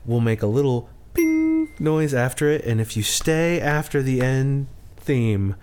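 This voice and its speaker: A man co-hosting a podcast in casual chat, rambling and self-deprecating with halting restarts. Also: mid-range voice, sing-song, darkish tone, smooth. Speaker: podcast co-host.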